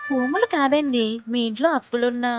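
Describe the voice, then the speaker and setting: A woman playing a customer care caller who politely and patiently delivers a sales pitch over the phone. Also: a little fast, lo-fi sound, music in the background. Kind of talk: scripted sales phone call.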